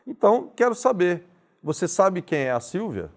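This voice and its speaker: A man hosting an interview, asking thoughtful, philosophical questions in a calm conversational way. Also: high-pitched, moderate pace.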